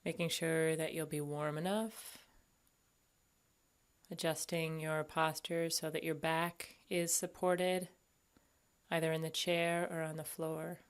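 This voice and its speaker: A woman with a fairly low voice leads a guided body scan meditation. She speaks as an instructor, gently and unhurriedly. She leaves long pauses between her phrases. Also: level tone, clear, resonant tone.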